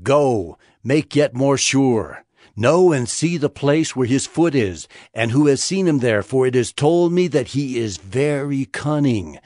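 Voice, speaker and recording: A man's voice: mid-range voice, lively intonation, measured pace, a little mellow, no room sound, clean studio sound, natural voice. An actor playing a vengeful, scheming king in a dramatized scripture reading, imperious and calculating.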